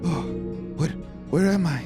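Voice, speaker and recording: A man voicing a bewildered, indignant old man in a tabletop game, speaking haltingly over music. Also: fairly high pitch, natural voice. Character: dazed, indignant old man found collapsed in street. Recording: loud music under the voice.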